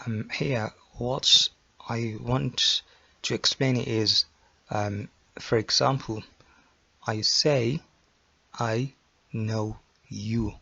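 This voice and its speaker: A man teaching English grammar, repeating example sentences slowly with frequent ums. He is from Nigeria. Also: mid-range voice, vocal fry, bright, forceful tone. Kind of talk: slow, deliberate grammar lesson. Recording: dull lo-fi sound.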